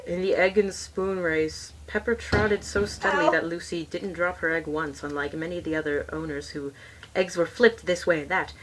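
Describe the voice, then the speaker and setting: A woman's voice: fairly low pitch, fairly even intonation, normal speed, over a noisy crowd, lightly acted. A woman playing a pompous, easily irritated male alien troll who reads a storybook aloud in a stilted, muttering way for comedy. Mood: storytelling. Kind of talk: comedic in-character storybook reading. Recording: noisy amateur recording with clicks.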